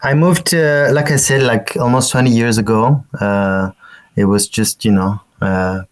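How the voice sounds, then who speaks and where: A man in his forties with a French accent, a festival director talking as a radio guest, halting with many restarts and fillers. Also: medium pitch, fairly even intonation. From France. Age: 40s.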